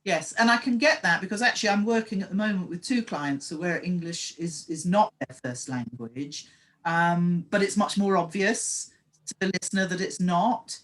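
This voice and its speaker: A woman's voice: on the low side, moderate pace, rough recording. A woman hosting an interview as a story coach, asking probing questions with frequent hesitant fillers. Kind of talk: casual interview chat.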